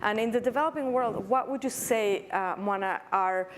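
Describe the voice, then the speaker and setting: A woman's voice: on the high side, moderate pace, a little hiss or hum. A woman moderating a conference panel, introducing guests and asking questions in a friendly, filler-laden way.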